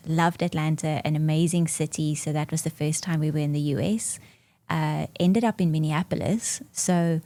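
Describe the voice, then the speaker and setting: A South African woman in her forties whose first language is Afrikaans, chatting in an interview as a marketing executive, laughing often and filling pauses with "um". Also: deep voice, mellow, no room sound. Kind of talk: podcast interview conversation.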